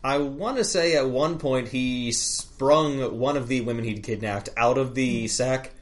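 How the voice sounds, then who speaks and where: A man who was a player in the campaign, tossing off dry, deadpan quips in casual chat. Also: medium pitch, unhurried. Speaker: player on a podcast.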